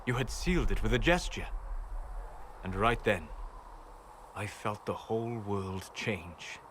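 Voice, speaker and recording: An actor playing a calm, scholarly mage advisor in a video game, speaking in a measured, deliberate and philosophical way. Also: male voice, on the low side, background noise. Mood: solemn, reflective.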